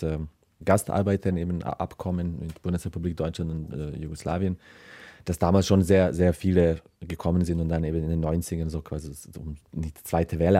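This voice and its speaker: Casting: male writer interviewee, Balkan accent, very mellow low voice, searching phrasing, dry studio sound. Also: no echo. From former Yugoslavia. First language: South Slavic language of former Yugoslavia. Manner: halting, searching phrasing with repeated words. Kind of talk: reflective interview talk.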